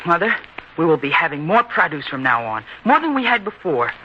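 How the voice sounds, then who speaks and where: A piercingly bright, fairly high-voiced farm boy, proud and eager to be treated as grown. He declaims earnestly and insistently in old-time radio style. The recording is dull and faintly hissy. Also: big pitch swings, a little fast. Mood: determined.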